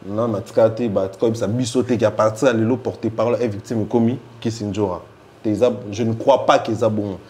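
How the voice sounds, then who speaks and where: A man's voice: lowish voice, steady tone, brisk, warm tone. A man with a Congolese accent speaking as a casual interview guest, emphatic and given to repeating himself. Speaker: interview guest, music fan spokesman. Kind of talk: casual interview, mixing two languages.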